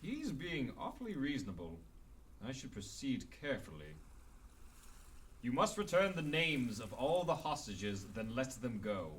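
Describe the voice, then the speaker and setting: Wry, self-assured pun-slinging hero, male actor, radio drama style, lively inflection, measured pace, slightly distant mic. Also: medium pitch. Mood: stern, wary. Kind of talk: amateur radio drama acting. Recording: faint noise and ambience, slightly distant.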